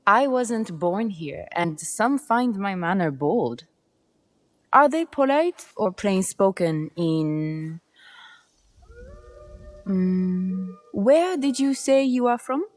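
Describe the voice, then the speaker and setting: A woman's voice: mid-range voice, lively intonation, slow, dry recording. An actress playing a bold, boastful, self-assured noblewoman of feudal Japan in a video game cutscene. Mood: assured, probing. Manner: self-assured, teasing, haughty.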